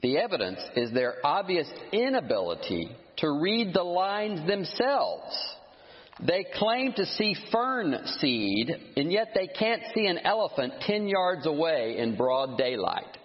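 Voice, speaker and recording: A man preaching a sermon, emphatic and animated, scornful of his critics, with frequent restarts. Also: on the high side, a little slow. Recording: muffled sound with long hall reverb.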